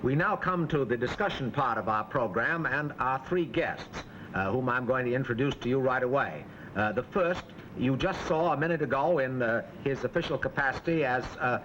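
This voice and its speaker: A man hosting a semi-formal broadcast panel interview in the 1940s, phrasing his questions in a halting way. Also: medium pitch, a bit bright, muffled recording. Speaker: host and moderator of a panel discussion. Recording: old 1940s broadcast, faint background noise.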